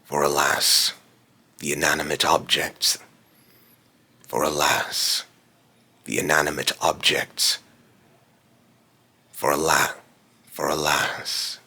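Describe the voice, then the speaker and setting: A man voicing an ominous immortal being in a theatrical villain monologue, grave and condescending, with solemn, grandiose phrasing and a gravelly, breathy rasp. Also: a bass voice, completely flat delivery, slow, long pauses, bright, forceful tone, heavily acted. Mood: grave, foreboding. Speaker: voice actor.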